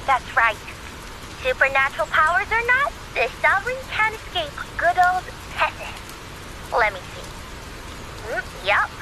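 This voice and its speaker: A woman voicing a perky, quirky young reader of omens in a video game, playful, breezy and expressive. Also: extremely high pitch, unhurried, background noise, full character voice. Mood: musing, chatty.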